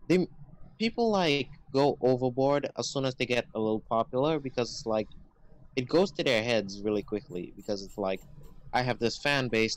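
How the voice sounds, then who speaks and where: A young man in a casual podcast chat over a phone call, agreeable and full of fillers. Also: medium pitch. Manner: agreeable, filler-heavy, says "yeah" a lot.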